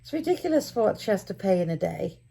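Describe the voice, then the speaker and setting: A middle-aged woman with a raspy, tired voice, chatting casually to camera in a rambling, self-deprecating daily vlog. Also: medium pitch, very animated, fast talker, warm tone. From United Kingdom. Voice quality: raspy, gravelly. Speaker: vlogger.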